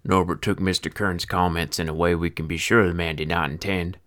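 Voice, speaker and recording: A man voicing a bitter, foul-mouthed old male feed seller in his sixties, telling his tale with a deep voice, an old-time American Western twang and a sardonic, grumbling manner. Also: flat delivery, brisk, fairly bright tone, dry recording, clean studio sound. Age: 60s. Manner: grumbling, sardonic, swears freely. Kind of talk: first-person storytelling narration.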